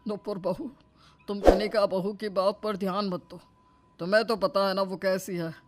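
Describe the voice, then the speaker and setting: Woman acting older mother-in-law, mid pitch, wise and firm, telling family off in a cartoon story. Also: little pitch movement, normal speed, heavy background noise, lightly acted. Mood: consoling. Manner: admonishing, plain-spoken.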